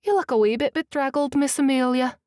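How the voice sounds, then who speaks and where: A woman's voice: high voice, a little mellow, no echo, clean studio sound, voice pushed up, natural voice. A woman voicing a kindly, fussing middle-aged housekeeper with a Scottish accent in an audiobook. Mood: doting. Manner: chatty, doting, brisk. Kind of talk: audiobook character voice.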